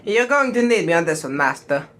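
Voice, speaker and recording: A man's voice: a little high, very animated, a little fast, a bit bright. A man playing a troubled, ambitious young Jedi apprentice with earnest, brooding delivery in an audio play. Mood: contrite.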